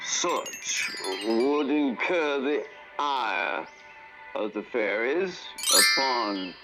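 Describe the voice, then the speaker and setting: A man acting as a grave, conspiratorial storyteller in a dark fantasy radio drama, speaking dramatically with deliberate pauses. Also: medium pitch, expressive. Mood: grave, ominous. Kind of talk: theatrical radio drama narration. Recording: music under a remastered radio production. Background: music.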